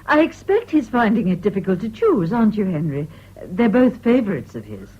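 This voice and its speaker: A woman's voice: mid-range voice, big pitch swings, brisk, breathy edge. An actress playing a polite, kindly English middle-class mother in a radio drama, genteel and courteous. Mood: pleasant, polite. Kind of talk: 1970s BBC radio drama acting. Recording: dull, noisy old radio recording.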